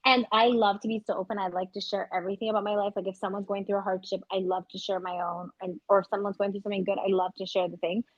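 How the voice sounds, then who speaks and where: A woman co-hosting a podcast in casual chat, with a loud, high-pitched voice, talking openly, swearing casually and laughing often. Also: level tone, quick pace, hardly any pauses, no echo, lo-fi sound. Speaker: podcast co-host.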